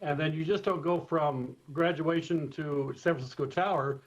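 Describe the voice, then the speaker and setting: An American man speaks as an expert guest in a live interview, explaining in a measured, informal way. His voice sits somewhat high, and the remote feed sounds a little dull and lo-fi. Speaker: expert guest, former airport communications director.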